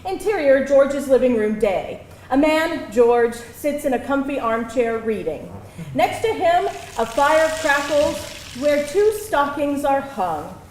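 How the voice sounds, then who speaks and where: A woman reading stage directions aloud as narrator at a live table read, expressive and theatrical, in a roomy venue. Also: high voice, slow. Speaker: screenplay narrator. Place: live event venue with audience. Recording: roomy live venue, faint background noise.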